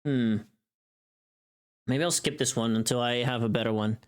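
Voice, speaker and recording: Casual livestreamer with a medium-pitched voice, talking through takes aloud in a self-critical, off-the-cuff way. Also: normal speed, clear, resonant tone. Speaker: streamer recording voice lines.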